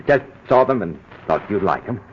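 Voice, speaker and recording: An actor playing a nervous husband hiding a crime, stammering and flustered in a 1940s radio drama. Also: male voice, lowish voice, lively intonation, unhurried, a little hiss or hum. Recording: muffled old radio broadcast.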